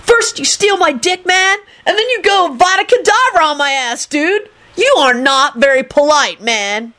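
A woman's voice: sits high, expressive, moderate pace, pushed and bright, voice pushed up. A woman voicing an irritable, slangy young dude in a story, whining and complaining. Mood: angry. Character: irritable, aggrieved slangy dude, shrieking and sulky. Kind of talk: dramatised story reading.

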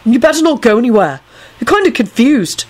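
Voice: medium pitch, very animated, fairly quick, pitched above the performer's own voice. A reader voicing an exasperated, sarcastic young male wizard in a storybook, scolding and emphatic. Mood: stern, demanding.

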